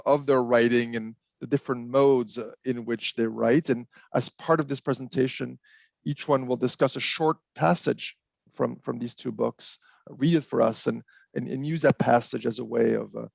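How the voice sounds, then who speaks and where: A man moderating an online panel, laying out the format hesitantly with many pauses and restarts. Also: mid-range voice, vocal fry, dry recording. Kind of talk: introducing a panel event.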